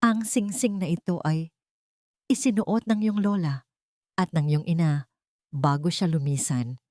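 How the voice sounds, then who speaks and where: A woman's voice: fairly low pitch, a little slow, dark tone, no echo, clean studio sound, lightly acted. A woman voicing a remorseful, pleading old grandfather, a wealthy patriarch, in a solemn and sentimental audio drama style. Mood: tender, pleading.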